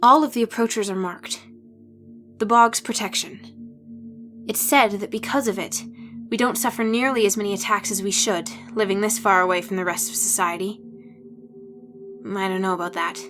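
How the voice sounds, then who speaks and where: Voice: medium pitch, fairly even intonation, moderate pace, pauses often, over music, voice pushed up, lightly acted. A narrator voicing a devout, earnest teenage village girl, solemn and sincere, in an audiobook. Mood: solemn, wistful. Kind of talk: audiobook character voice.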